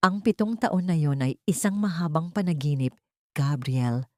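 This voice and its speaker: A woman's voice: low voice, lively intonation, normal speed, mellow, no room sound, studio quality. A woman voicing a betrayed woman bent on revenge, speaking coldly and firmly in an audio drama story. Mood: cold resolve. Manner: cold, firm, emphatic.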